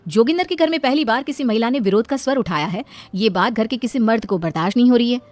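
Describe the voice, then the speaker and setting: High-pitched woman narrating a story. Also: expressive, fast, a little mellow. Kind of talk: scripted moral story narration.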